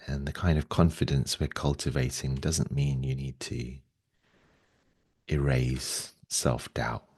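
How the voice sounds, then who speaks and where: A man leading a guided meditation in a gentle, soothing way, speaking slowly and softly with long pauses. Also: extremely low pitch, flat delivery, husky, no echo, studio quality.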